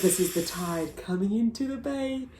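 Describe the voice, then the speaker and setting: A woman reading a rhyming picture book aloud to children in a slow, sing-song way. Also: mid-range voice, animated, noisy background. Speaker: children's story reader.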